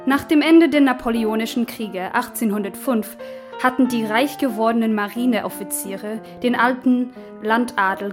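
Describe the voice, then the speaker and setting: A woman presenting a radio play with scripted explanatory narration, informative and in short phrases. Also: a little high.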